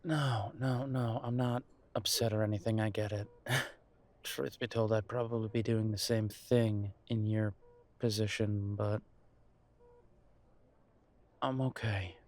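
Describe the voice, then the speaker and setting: A man acting in an intimate audio roleplay as a nervous, reassuring grown son, speaking haltingly and affectionately to his partner. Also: lowish voice, fairly even intonation, close to an everyday voice. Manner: hesitant, halting, sighing delivery with soft chuckles.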